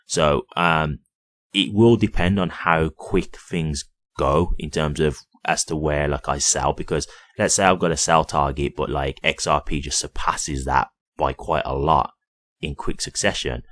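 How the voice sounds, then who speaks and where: Really deep voiced guy doing casual youtuber commentary, off the cuff, dry close sound. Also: steady tone, measured pace, fairly bright tone, no echo. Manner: conversational, repeatedly checks in with "right?". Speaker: crypto YouTuber. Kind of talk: casual unscripted commentary to viewers.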